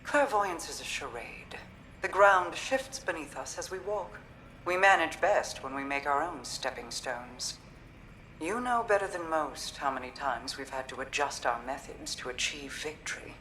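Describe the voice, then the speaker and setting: An actress playing a regal, guarded and calmly resolute queen in a video game, speaking in a measured, formal, restrained manner. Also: low-pitched, slight background noise, poor audio. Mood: composed, assured. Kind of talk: dramatic video game dialogue.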